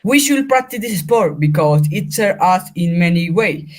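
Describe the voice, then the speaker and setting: A male field reporter with a Colombian Spanish accent reads his report in a stiff, careful way. His voice is pitched high for a man. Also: animated, normal speed. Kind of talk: school-project news report.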